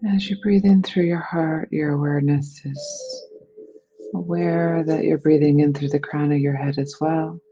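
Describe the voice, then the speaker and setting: Soft, husky, mellow meditation guide. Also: medium pitch, steady tone, lo-fi sound, faint music behind. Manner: soothing, unhurried phrasing with breathy releases.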